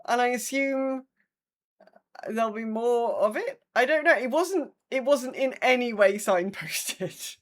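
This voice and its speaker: A woman co-hosting a podcast, chatting casually in a halting, self-interrupting way. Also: on the high side, animated. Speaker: podcast co-host. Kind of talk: casual chat.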